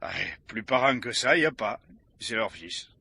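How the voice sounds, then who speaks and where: An actor playing an excitable, folksy cemetery caretaker in a radio mystery, stammering and rambling. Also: male voice, medium pitch, moderate pace, breathy edge, dry recording. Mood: rambling, eager. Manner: flustered, stammering, chatty, mangles learned words. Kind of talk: French radio mystery play acting.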